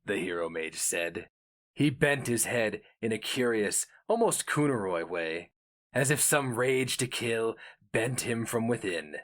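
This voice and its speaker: A male narrator delivers a dark-toned fantasy tale as a dramatic reading. His voice sits on the low side and he takes his time, very slowly and deliberately. The delivery is solemn and theatrical throughout. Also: lively intonation.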